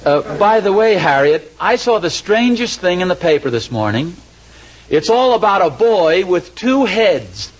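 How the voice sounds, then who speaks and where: A man's voice: high-pitched, big pitch swings, a little slow, highs cut off, heavily acted. An actor playing a scheming, mildly exasperated husband and father in an old-time radio comedy, chatty and mock-earnest.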